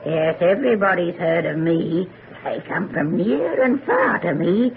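A woman's voice: lowish voice, lively intonation, unhurried, narrow, tinny audio. Old herb woman telling fortunes, foreboding country talk, noisy old radio sound. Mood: folksy, inviting. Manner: rustic old-fashioned speech, foreboding. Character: old country herbalist fortune-teller woman, ominous, sharp-tongued. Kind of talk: old-time radio drama acting.

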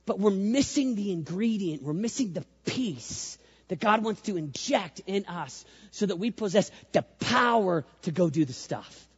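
A male youth pastor with a squeaky voice, preaching an energetic sermon, repeating phrases for emphasis and leading the crowd in call-and-response. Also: measured pace, slightly rough. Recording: echoey hall, dull lo-fi sound.

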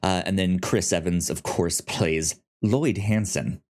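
A man co-hosting in a casual chat, speaking haltingly with stammered, repeated word starts. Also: low voice, darkish tone. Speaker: podcast co-host discussing films.

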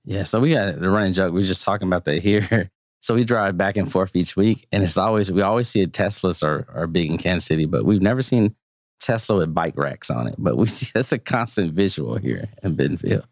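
Laid-back guy with a really low voice, startup founder on a podcast, dry sound. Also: brisk, no echo. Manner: relaxed, easygoing, laughs easily. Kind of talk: podcast interview.

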